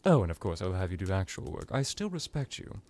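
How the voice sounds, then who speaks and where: A man voicing a seductive, menacing vampire necromancer in an intimate ASMR hypnosis roleplay, purring deliberately and slipping into whispers. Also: sits low, sing-song, a little mellow, slight background noise. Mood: condescending, possessive.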